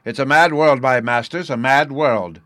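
A man's voice: fairly low pitch, very animated, moderate pace, a bit bright, no echo. A man voicing a dignified, good-natured young army officer in a storybook, courteous, amused and jovial. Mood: loud, wry.

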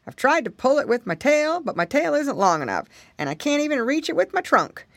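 A woman's voice: high voice, big pitch swings, fairly quick, no echo, pitched above the performer's own voice. A woman reading a children's storybook, voicing a big, good-natured, fussy male elephant in an emphatic, exclamatory way. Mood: flustered, complaining.

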